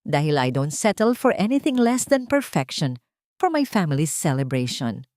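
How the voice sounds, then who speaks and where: A woman voicing a shrill, commanding villainess in an audio drama story, condescending and impatient in manner. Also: very animated, normal speed, darkish tone, no echo, clean studio sound. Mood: haughty, scrutinizing.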